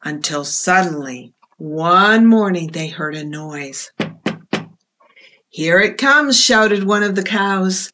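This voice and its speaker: Slow-paced woman reading a children's story. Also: lowish voice, slight background noise. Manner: expressive, deliberate storytelling. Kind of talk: read-aloud storytime for young children. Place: small indoor room.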